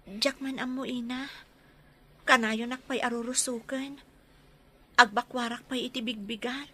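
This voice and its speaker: A woman's voice: fairly high pitch, steady tone, measured pace, sharp tone. A woman playing a distraught, meek pregnant daughter in an audio drama, pleading and deferential, with a northern Philippine accent. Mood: sullen. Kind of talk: Ilocano-audience audio drama acting. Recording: noisy track with vehicle and ambient sound.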